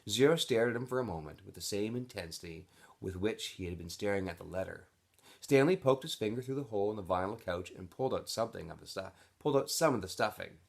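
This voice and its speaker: Man, low voice, very lively intonation, expressive story read-aloud, teacher-like delivery. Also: fast talker, creaky. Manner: expressive, switches into character voices. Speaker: teacher reading aloud to students. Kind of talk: animated children's novel read-aloud.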